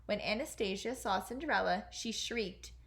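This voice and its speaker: A woman teacher reading a children's fairy tale aloud in an expressive storytelling style. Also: mid-range voice, moderate pace, gravelly.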